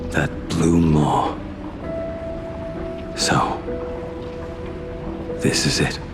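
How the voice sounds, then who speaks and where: A man voicing a world-weary, brooding swordsman in a video game, grave and halting, breathy at moments. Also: a bass voice, level tone, heavily acted. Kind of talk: dramatic scripted game dialogue. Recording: loud music under the voice.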